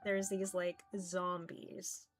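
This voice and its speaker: A woman livestreaming visual novels, chatting casually in a rambling, halting way. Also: fairly low pitch, monotone. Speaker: streamer playing visual novels. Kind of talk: casual livestream chat, reading game text aloud. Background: background music.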